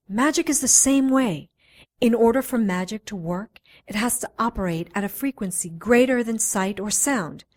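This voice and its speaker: A woman's voice: medium pitch, natural voice. A woman playing a self-assured, teasing doctor of magic and science in a radio drama, flirtatious and persuasive. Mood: explaining, proud.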